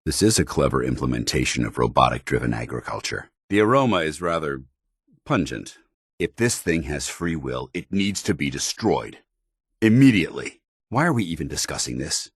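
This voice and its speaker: A super deep american paladin soldier for a game, husky, stern n formal, dry close sound. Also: male voice, very animated, normal speed, no echo, heavily acted. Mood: calm, curious. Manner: clipped, formal, authoritative military diction. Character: stern, principled military paladin, loyal soldier of a brotherhood. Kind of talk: video game companion dialogue.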